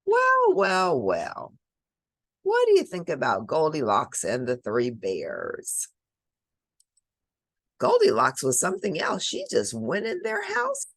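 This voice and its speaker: A woman's voice: low voice, no echo, studio quality. A woman reading a picture book aloud to children, expressively, with dramatic emphasis and chatty asides. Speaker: children's storybook reader.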